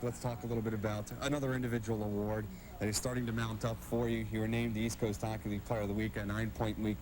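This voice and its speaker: A man's voice: medium pitch, poor audio. A man hosting a sports interview show with a polished broadcast delivery. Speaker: TV show host and hockey play-by-play announcer.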